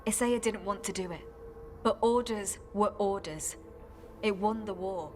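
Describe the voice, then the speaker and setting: An actress playing a caring, grave former warden recruit in a video game, speaking in a measured, earnest way. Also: female voice, medium pitch, darkish tone, faint music behind, close to an everyday voice. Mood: solemn.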